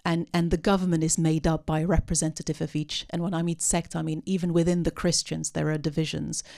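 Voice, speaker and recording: A woman with a low, gravelly voice talks through a post-film question. She pauses often and restarts her sentences as she thinks aloud. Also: little pitch movement, mellow. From part Syrian. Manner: hesitant, many ums and restarts. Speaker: panelist at a post-film discussion. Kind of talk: unscripted talk answering audience questions.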